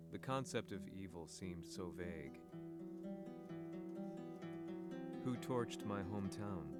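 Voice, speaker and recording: Deep-voiced, world-weary reporter. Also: male voice. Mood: somber, weary. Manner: somber, measured, world-weary. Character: weary, brooding male war reporter narrating his story. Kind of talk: scripted first-person game narration. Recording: loud guitar music behind the voice.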